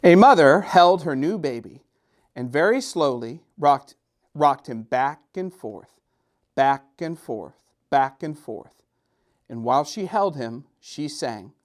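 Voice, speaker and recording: A man reading a tender children's picture book aloud as a gentle narrator, with a deliberate, lilting storytelling cadence. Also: fairly high pitch, lively intonation.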